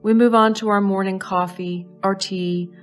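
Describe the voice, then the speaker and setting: A woman leading a guided meditation in a soothing, measured way, pausing between short phrases. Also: mid-range voice, steady tone, soft background music. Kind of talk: slow guided meditation narration.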